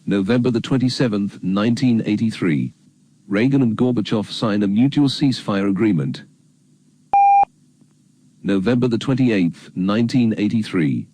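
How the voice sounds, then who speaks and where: A man reading scripted narration for an educational documentary filmstrip in a grave, measured, matter-of-fact manner. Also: lowish voice, dark tone, slight background noise, rough recording.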